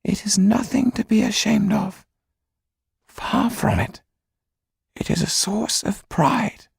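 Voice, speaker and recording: A man voicing a solemn older Romany mystic in an audiobook, with a whispery, gravelly, husky voice and slow, hushed storytelling. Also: high voice, no echo, clean studio sound, voice pushed up, full character voice. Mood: solemn pride. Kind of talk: audiobook character reading.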